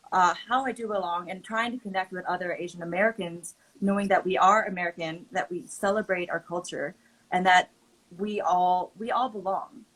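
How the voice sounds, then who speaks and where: A woman's voice: lowish voice, fairly bright tone, poor audio. Earnest woman from Oakland, Mandarin native. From Oakland, California. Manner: earnest storytelling, frequent fillers. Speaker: guest. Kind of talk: casual group chat.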